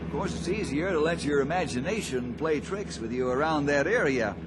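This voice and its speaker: A man playing a steady, good-natured forest ranger, brisk and reassuring, in an old-time radio drama. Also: on the high side, close to an everyday voice. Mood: reassuring, explanatory. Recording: loud vehicle noise in old radio drama.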